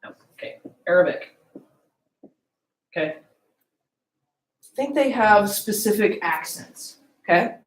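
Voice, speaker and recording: A woman's voice: medium pitch, lively intonation, slow, long pauses, echoey room, rough recording. A woman giving a classroom lecture, often asking the class questions and checking in with "okay?" and "right?".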